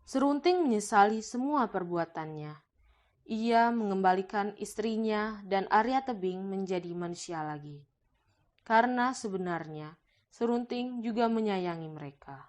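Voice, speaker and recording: A woman's voice: mid-range voice, a little mellow. Woman narrating a folk tale. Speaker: storyteller narrator.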